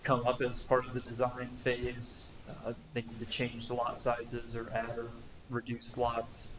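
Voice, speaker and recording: A man's voice: completely flat delivery, measured pace. A man speaking as an engineer, explaining technical details off the cuff at a public meeting. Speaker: traffic engineer. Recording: noisy meeting room with low rumble.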